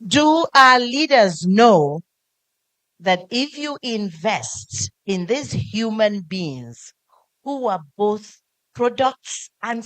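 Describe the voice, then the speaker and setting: A middle-aged woman, an actress on a panel, speaking deliberately in rhetorical questions. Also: medium pitch, no room sound. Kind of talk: panel discussion remarks. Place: conference stage.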